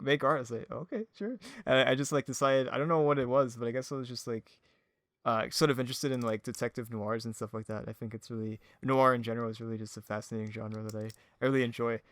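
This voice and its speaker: A man chatting casually as a podcast guest, with a halting, rambling, self-effacing way of talking. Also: mid-range voice, fairly bright tone, no echo, clean studio sound. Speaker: podcast guest, audio drama creator. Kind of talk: casual chat.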